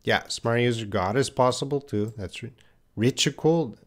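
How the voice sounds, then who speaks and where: A man's voice: medium pitch, normal speed. A man teaching English in a live online lesson, patiently reading out viewers' answers and correcting them with repeated example phrases.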